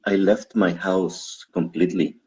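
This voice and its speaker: A man's voice: deep voice, steady tone, unhurried, darkish tone, dull audio. A man from Guatemala, a stand-up comedian, chatting casually and self-deprecatingly as an interview guest. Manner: rambling, self-deprecating, laughs often.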